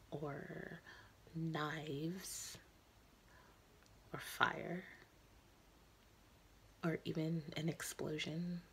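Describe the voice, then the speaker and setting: Casting: actress as sorrowful, ageless young woman, low creaky voice, intimate halting stage-monologue confession, noisy recording. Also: fairly bright tone, full character voice. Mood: solemn, resolute. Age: young adult. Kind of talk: dramatic audition monologue.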